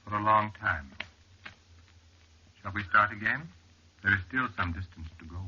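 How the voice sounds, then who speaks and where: Male actor as serene, enigmatic male guide from old radio horror; lowish voice, formal and unhurried, heavy noise, muffled sound. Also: lightly acted. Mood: serene, courteous. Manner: formal, measured, polite, unhurried. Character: calm, courteous, mysterious male cliff-dweller guide. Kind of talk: 1940s radio horror acting. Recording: very noisy, muffled, clicky vintage radio recording.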